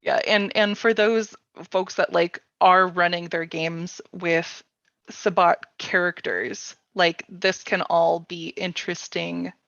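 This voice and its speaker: A woman's voice: on the low side, fairly even intonation, slow pace, gravelly, fairly bright tone, dry recording, highs cut off. A woman hosting a casual workshop chat about tabletop gaming, talking informally and thinking aloud as she goes. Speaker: workshop host taking chat questions.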